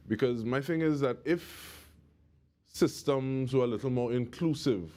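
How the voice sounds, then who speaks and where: A man's voice: medium pitch, darkish tone. A man, speaking as the host of a broadcast interview.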